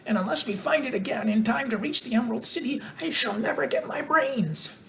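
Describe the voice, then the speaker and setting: A woman reading aloud and voicing a grumbling male scarecrow, drawn out and slow. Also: fairly high pitch, expressive, vocal fry, a bit bright, slight background noise, voice pushed up.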